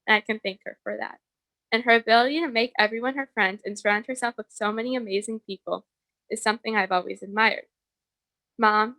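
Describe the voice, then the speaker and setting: A woman's voice: on the high side, pushed and bright, no room sound, poor audio. A young woman delivering a prepared, personal eulogy as a bereaved daughter.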